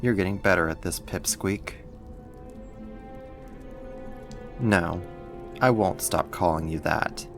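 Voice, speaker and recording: A man's voice: deep voice, vocal fry, over music. A man playing a sadistic, mocking villain and kidnapper in an ASMR roleplay, with a controlling, condescending manner. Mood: taunting, controlling. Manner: slow, taunting, controlling, condescending.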